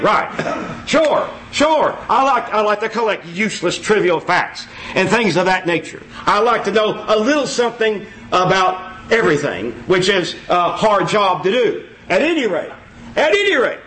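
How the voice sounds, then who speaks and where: Folksy, high-voiced preacher man. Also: a little hiss or hum, poor audio. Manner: folksy, self-mocking, repeats phrases, loud and exclaiming. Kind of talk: informal sermon. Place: church.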